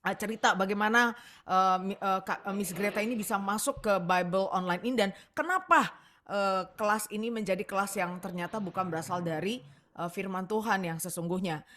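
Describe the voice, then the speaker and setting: An Indonesian woman hosting a casual chat show in a chatty, expressive, giggly manner. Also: medium pitch, brisk. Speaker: talk show host.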